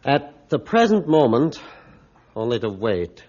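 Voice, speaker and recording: A man playing a middle-aged ship's captain, measured, formal and authoritative, in a 1950s radio drama. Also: mid-range voice, big pitch swings, a little mellow. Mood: grave. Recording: dull 1950s radio recording.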